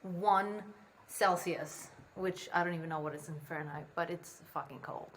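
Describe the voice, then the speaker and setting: A woman's voice: fairly low pitch, moderate pace, fairly bright tone, a little hiss or hum, low-quality recording. A young woman who grew up in Sweden, a podcast guest talking casually and candidly, swearing freely, calling in remotely. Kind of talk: casual interview conversation. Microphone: remote call from home.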